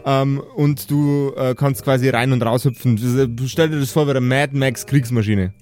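A man acting as game master, describing scenes off the cuff in a casual, halting way. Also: medium pitch, steady tone, darkish tone, soft background music.

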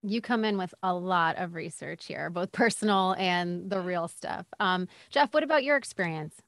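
A woman's voice: lowish voice, normal speed, a bit bright, no room sound, clean studio sound. A woman hosting an interview, asking questions in an encouraging, affirming way. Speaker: interview host.

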